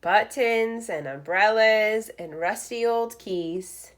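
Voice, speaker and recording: A woman reading a picture book aloud to young children like a teacher, in expressive, deliberate short phrases with asides. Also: medium pitch.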